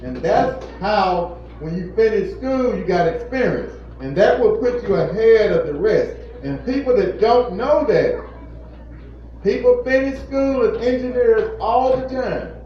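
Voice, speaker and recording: A man's voice: high-pitched, animated, unhurried. Earnest fifty-something man in an echoey room. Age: 50s. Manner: earnest, repetitive, preacherly emphasis. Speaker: plant manager speaking to children. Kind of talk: informal motivational talk to kids. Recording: echoey, distant, muffled room recording with faint noise.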